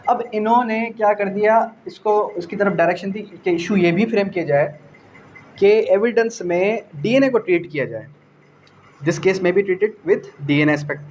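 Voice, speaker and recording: A man's voice: sits high, normal speed, a little mellow. A man with a Pakistani accent giving an explanatory law lecture in a didactic way, repeating phrases, asking rhetorical questions and mixing in English legal terms. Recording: dull lo-fi audio, faint background noise.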